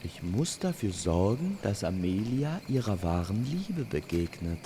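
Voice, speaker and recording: A man's voice: lowish voice, big pitch swings, measured pace, background noise. A man playing a tense, determined male love archer with courtly speech in a fairy-tale audio drama. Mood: flustered, determined.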